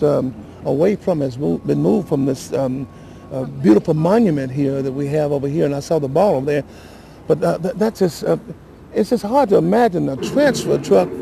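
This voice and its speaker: Shaken man, gravelly, higher-pitched voice. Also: lively intonation, mellow, a little hiss or hum. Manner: halting, repetitive, emotional and earnest. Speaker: city councilman giving interview. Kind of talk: unscripted live news interview.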